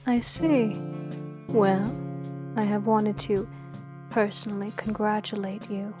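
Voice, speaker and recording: A woman playing a towering, poised office supervisor in an audio drama, formal, deliberate and icily polite. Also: mid-range voice, steady tone, music in the background, close to an everyday voice. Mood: poised, inviting.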